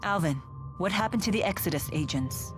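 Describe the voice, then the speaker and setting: A woman's voice: medium pitch, expressive, brisk, close to an everyday voice. A woman playing a stern, regal young warrior in a game, formal, decisive and detached. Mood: cool, resolute. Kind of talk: English game dub acting. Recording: loud game music behind.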